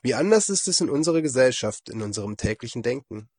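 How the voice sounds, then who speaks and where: Male lay preacher, hoarse and husky from a cold, mid pitch, measured devotional read, dry close recording. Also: dry recording. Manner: calm, measured reading. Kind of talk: devotional reflection.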